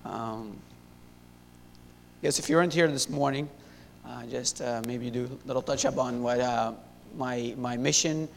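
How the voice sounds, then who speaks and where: A young man in his twenties from Syria, giving a halting church testimony full of fillers and restarts into a handheld mic. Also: creaky. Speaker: missionary. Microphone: handheld wireless mic. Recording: echoey hall, faint rumble.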